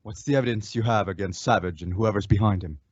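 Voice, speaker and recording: A teenage man playing an earnest, measured masked cowboy hero in an old-time western radio drama. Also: on the low side, darkish tone, husky, no echo. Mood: determined. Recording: dull, dry lo-fi radio recording.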